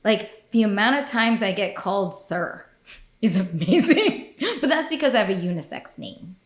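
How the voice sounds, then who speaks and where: A woman's voice: mid-range voice, animated, normal speed. A woman hosting a podcast in casual chat, blunt and profane, laughing often. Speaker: podcast host. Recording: echoey room.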